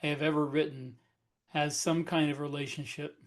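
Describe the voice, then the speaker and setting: A man with a somewhat high voice argues his view on a podcast with earnest insistence. He keeps a casual, conversational flow. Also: level tone, normal speed. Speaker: podcast co-host, author. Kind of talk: casual chat.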